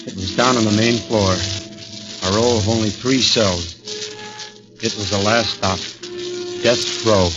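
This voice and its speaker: A man's voice: lowish voice, fairly even intonation, slow, pauses often. An actor playing a haunted, plain-spoken American condemned man, narrating his ordeal in a 1940s radio drama. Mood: afraid, lonely. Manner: plain-spoken, brooding, confiding. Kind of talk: 1940s radio acting, first-person narration. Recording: dull 1940s radio recording, loud music behind.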